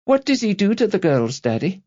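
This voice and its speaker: Candid, curious schoolgirl daughter, played by a male narrator: high voice, girlish and chatty, slightly dull audiobook sound. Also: very animated, a little fast, darkish tone. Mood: anxious curiosity. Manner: girlish, informal, frank. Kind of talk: audiobook character dialogue.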